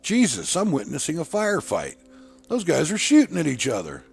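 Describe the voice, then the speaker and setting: A man's voice: on the high side, huge pitch swings, moderate pace, gravelly, faint music behind, voice pushed up, heavily acted. A male narrator voicing a jittery, down-on-his-luck man who talks to himself in colloquial, self-scolding lines. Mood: stunned. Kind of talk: acted audiobook dialogue.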